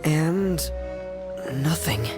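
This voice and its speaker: Slow, subdued teenage boy. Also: a little high, lively intonation. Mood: dejected. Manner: hesitant, subdued. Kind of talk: video game voice acting. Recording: music playing behind the voice.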